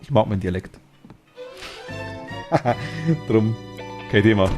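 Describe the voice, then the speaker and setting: A man's voice: fairly low pitch, takes it slowly, over music. A man with a Bavarian accent chatting casually and enthusiastically while streaming a game, laughing easily.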